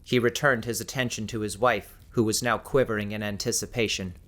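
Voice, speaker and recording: Low-voiced male audiobook narrator. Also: fairly even intonation, brisk, fairly bright tone. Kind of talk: scripted fiction narration.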